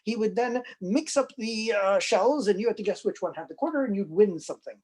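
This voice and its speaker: A man's voice: high voice, lively intonation, a little fast, a little mellow. A man hosting a casual unboxing, chatting and explaining in a playful, jokey showman way. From New York. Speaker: host, film collector and presenter. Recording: clicky, faintly noisy live room recording.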